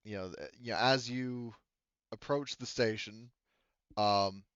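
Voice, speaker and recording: A man improvising narration as game master in a conversational way, halting as he searches for words and casually profane. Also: fairly low pitch, fairly bright tone, clean tone, no room sound, dull audio. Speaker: game master of a tabletop roleplaying game.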